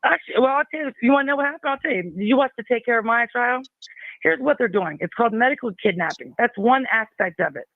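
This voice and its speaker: A man's voice: extremely high pitch, expressive, fast talker, no room sound. A man calling into a live show, insistent and rambling, talking over the host. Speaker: caller. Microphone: phone. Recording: phone line, dry.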